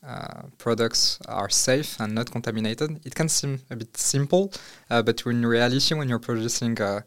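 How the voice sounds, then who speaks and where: Young male founder from Morocco, resonant tone, halting delivery. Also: mid-range voice. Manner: hesitant, many fillers and restarts. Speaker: startup founder guest. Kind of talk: casual interview answers.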